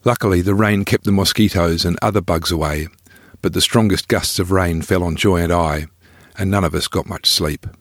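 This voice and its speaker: Deep-voiced man narrating his memoir. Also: fairly even intonation, normal speed, dry recording, studio quality. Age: middle-aged. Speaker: author reading own travel memoir. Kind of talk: scripted first-person narration.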